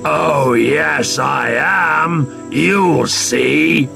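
A man voicing a terrifying, greedy, always-hungry troll in a children's storybook, roaring out his threats with drawn-out menace. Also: on the high side, gravelly, over music, heavily acted. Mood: defiant threat.

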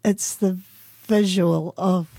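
A middle-aged woman born in England and raised in New Zealand, answering interview questions softly and hesitantly. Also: on the low side, fairly even intonation, takes it slowly, vocal fry, no echo. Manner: hesitant, soft-spoken, laughs often. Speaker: guest.

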